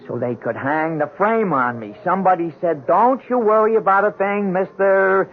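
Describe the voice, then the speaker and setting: An actor playing a hapless, nervous middle-aged American drunkard in a radio drama, stammering and hesitant, talking in street slang. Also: male voice, high voice, normal speed, close to an everyday voice. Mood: muddled, straining. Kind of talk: 1970s radio drama acting. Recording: tinny, hissy 1970s radio broadcast.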